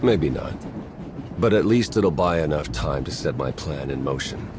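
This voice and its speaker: Game villain: a cool, bitter turncoat agent, very low voice, wry and grim, engine noise behind him. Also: male voice, lively intonation, normal speed. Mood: cold, resolute. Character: bitter male agent turned traitor, cool and resolute. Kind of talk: video game cutscene acting.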